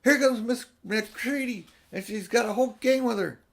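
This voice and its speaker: Man putting on an excited high-pitched young girl. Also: moderate pace, vocal fry, heavily acted. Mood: alarmed. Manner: excited, urgent, exclaiming. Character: excitable young girls raising the alarm. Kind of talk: storybook character reading.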